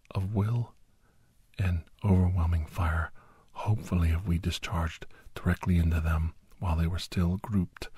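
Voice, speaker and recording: A man playing a grave, anxious doctor and old soldier telling a battle story in hushed, halting, breathy ASMR narration that often drops into whispers. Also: low-pitched, no echo, full character voice. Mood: grave, regretful. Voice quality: breathy, husky. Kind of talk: ASMR first-person dramatic story narration.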